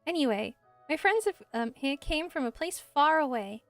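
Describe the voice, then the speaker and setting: A woman voicing a kindly, protective young village woman in a game, gentle and mildly scolding. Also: sits high, expressive, normal speed, faint music behind. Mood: gentle, reassuring.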